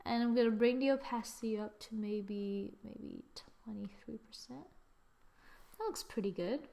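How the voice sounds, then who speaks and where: A woman hosting a screen-recorded software tutorial, chatting as she works and correcting herself with little exclamations. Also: fairly high pitch, measured pace, vocal fry. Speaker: tutorial host.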